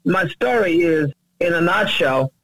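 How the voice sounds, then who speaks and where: A man's voice: on the high side, animated, a little slow, fairly bright tone, dry recording, low-quality recording. A man, a guest telling his life story earnestly on a faith radio talk show. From Uganda. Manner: earnest, upbeat storyteller who chuckles easily. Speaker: guest, worship minister. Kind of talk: casual radio interview, personal story.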